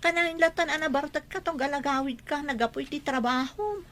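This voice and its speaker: A woman's voice: sits high, brisk. A woman with an Ilocano accent playing an exasperated wife in a radio drama, scolding her hard-drinking husband. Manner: scolding, sharp.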